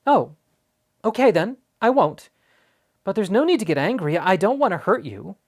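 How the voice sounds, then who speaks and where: A storybook reader voicing an eager, polite young boy who pleads and talks fast when excited. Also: mid-range voice, pitched above the performer's own voice. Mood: placating. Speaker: storybook character.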